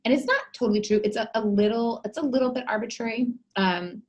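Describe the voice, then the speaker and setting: A woman fertility doctor giving an educational talk, explaining clearly with frequent fillers. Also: fairly high pitch, quick pace.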